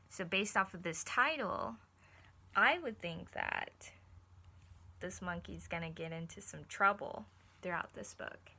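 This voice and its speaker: A woman teacher reading a picture book to children, encouraging them and pausing to explain things simply and ask questions. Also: medium pitch, pushed and bright. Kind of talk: read-aloud lesson with questions to young listeners.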